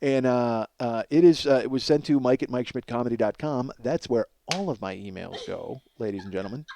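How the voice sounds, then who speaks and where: A man in his forties hosting a comedy podcast, rambling in an animated, profane monologue and often cutting himself off. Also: mid-range voice, a little fast, gravelly, a little hiss or hum. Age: 40s. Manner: animated, emphatic, self-interrupting riffing.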